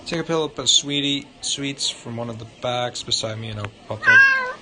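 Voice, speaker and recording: A man's voice: medium pitch, wildly swooping pitch, normal speed, heavy background noise. A male livestreamer reading visual novel narration aloud in an informal way, sometimes stumbling over the words. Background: loud guitar music.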